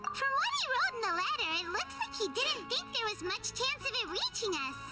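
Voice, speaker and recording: A woman voicing a tiny, cheeky, childlike fairy companion in a video game, with a squeaky voice. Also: very animated, a little fast, distant mic. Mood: thoughtful. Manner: refers to self in third person, scolding and chatty. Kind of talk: video game cartoon voice acting. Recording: music behind the voice.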